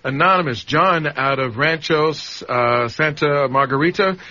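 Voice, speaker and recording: A man's voice: a little high, unhurried, pushed and bright. A man co-hosting a radio pledge drive, chatting casually as he thanks callers. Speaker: radio co-host. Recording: dull radio broadcast sound.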